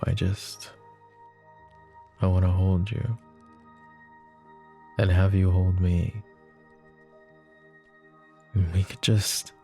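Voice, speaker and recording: A man voicing a lovesick, possessive young mafia boss in an ASMR roleplay, speaking softly and intimately, often close to a whisper. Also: deep voice, vocal fry, full character voice. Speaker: voice actor.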